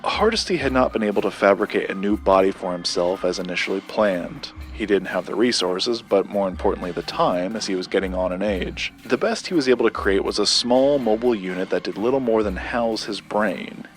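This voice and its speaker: A man acting as a secretive collector tells a dark local legend in an audio drama. The voice is low and male, deliberate and measured, with pauses for effect. Music plays underneath. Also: close to an everyday voice. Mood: measured, confiding.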